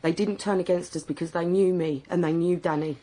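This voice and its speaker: An actress playing a blunt, defensive young working-class wife in a naturalistic radio drama, colloquial and outspoken. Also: female voice, fairly low pitch, steady tone, a little fast, dark tone, lightly acted. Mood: defensive, fed up.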